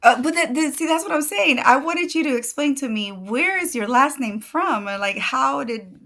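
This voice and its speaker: A woman's voice: sits high, lively intonation, moderate pace, a bit bright. A woman from El Salvador whose first language is Spanish, chatting casually on a video call livestream, laughing often and restarting her sentences. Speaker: guest.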